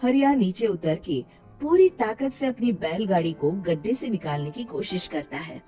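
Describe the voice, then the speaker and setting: Woman, cartoon story narration, expressive, animated pitch, music underneath. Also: normal speed.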